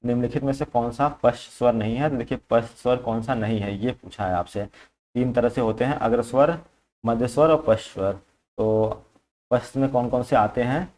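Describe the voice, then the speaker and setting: A man's voice: mid-range voice, normal speed, a little mellow. A man working through exam questions as a coaching teacher, explaining informally, repeating points and mixing in English terms.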